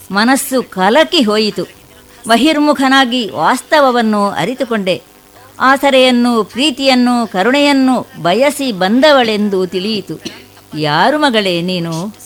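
A woman voicing a gentle old sage. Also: on the high side. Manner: slow, soothing, consoling. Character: kindly old male sage, gentle and fatherly. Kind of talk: devotional radio play acting. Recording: faint music behind.

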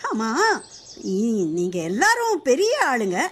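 A woman's voice: fairly high pitch, huge pitch swings, slow, slightly rough, heavily acted. A woman playing a proud, touchy young factory owner in a scripted drama, speaking emphatically and formally. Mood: friendly, teasing. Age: young adult.

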